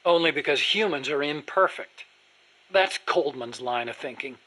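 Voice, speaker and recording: An earnest American weapons engineer from a video game, speaks in a high, sharp-edged voice. He is nerdy and precise, eager to explain every technical detail. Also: animated, moderate pace, low-quality recording. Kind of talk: video game dialogue acting.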